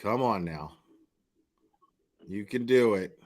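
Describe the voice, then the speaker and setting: A man's voice: lowish voice, lively intonation, slow pace, a little mellow. A man giving casual live commentary in a slangy, profane, mocking manner, as the host of a reaction stream.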